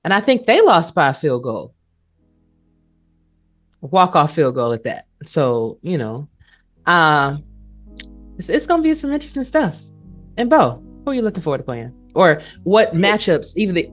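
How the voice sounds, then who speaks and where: Animated woman hosting a casual panel. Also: on the low side, normal speed, faint music behind. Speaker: talk show host.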